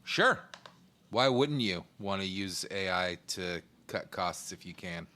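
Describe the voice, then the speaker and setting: Man, co-host, sarcastic, lively pitch. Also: slow pace. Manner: jokey, sarcastic. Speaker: podcast co-host. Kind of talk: casual chat.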